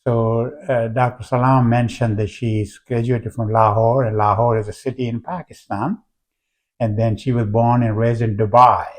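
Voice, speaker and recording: An older man, a retired professor, interviewing a guest in a measured, formal way with frequent hesitations. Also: on the low side.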